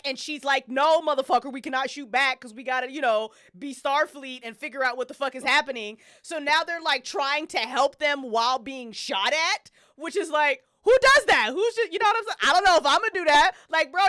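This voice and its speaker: A woman's voice: sits high, brisk, few pauses, bright, forceful tone, no room sound, clean studio sound. A woman on a podcast panel, chatting casually and opinionatedly as a fan, with emphatic, slangy, swear-heavy delivery.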